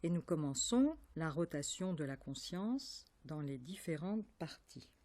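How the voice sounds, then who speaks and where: A woman leading a guided yoga nidra relaxation as an instructor, calm and measured, giving instructions in short, separate phrases. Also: deep voice.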